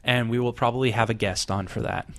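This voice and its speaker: A man's voice: mid-range voice, fairly even intonation, quick pace, creaky, a bit bright. A young man co-hosting a casual podcast chat, joking and laughing often as he talks. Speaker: podcast co-host.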